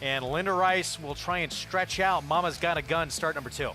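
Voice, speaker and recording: A man hosting a horse racing broadcast, brisk and jokey between race calls. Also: fairly high pitch, bright, forceful tone, soft background music. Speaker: racing show host.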